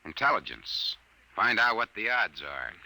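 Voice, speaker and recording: An American man playing a hard-boiled, wisecracking private detective in a 1950s radio drama, brisk, sardonic and bossy. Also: fairly low pitch, big pitch swings, gravelly, sharp tone, heavily acted, rough recording. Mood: skeptical, businesslike. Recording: restored 1950s radio recording.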